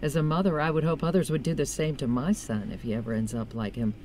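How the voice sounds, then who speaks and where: A woman's voice: low-pitched, steady tone, fast, mellow, a little hiss or hum, lightly acted. An actress playing a kindly middle-aged shopkeeper and mother in a video game cutscene. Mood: kindly, concerned. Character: kindly working mother, female small-town shopkeeper.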